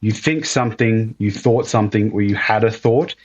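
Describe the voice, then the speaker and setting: A man hosting a podcast, native English speaker, chatting casually and relaxed. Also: lowish voice, normal speed, slightly rough, muffled recording. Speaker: podcast host. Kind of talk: casual interview chat.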